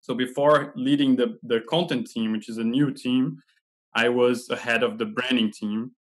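A man's voice: mid-range voice, normal speed. A man in his thirties from Brazil, talking relaxedly as a guest on a video call over a headset. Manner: relaxed, with fillers and light laughs. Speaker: guest, head of content. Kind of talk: casual video-call interview. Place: home room.

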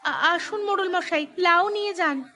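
A woman's voice: very high voice, normal speed, bright, forceful tone, faint music behind. A woman playing a polite, humble village housewife who sells vegetables in a cartoon. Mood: eager, polite. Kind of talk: cartoon voice acting.

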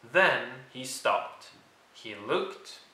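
A man reading a children's picture book aloud as narrator, deliberate, clearly phrased and expressive. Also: mid-range voice, roomy sound, low-quality recording. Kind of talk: read-aloud storybook narration.

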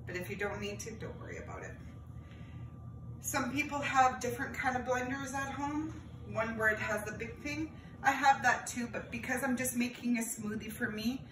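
Woman teaching kids a cooking class. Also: on the high side. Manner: friendly, explains step by step while preparing food, calls viewers friends. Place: home kitchen. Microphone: camera across the counter, no visible mic. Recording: roomy, distant camera audio with background noise.

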